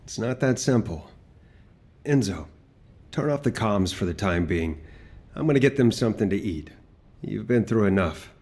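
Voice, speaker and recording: An actor playing a steady, caring squadmate in a video game, calm and reassuring. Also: male voice, lowish voice, moderate pace, long pauses, slightly rough.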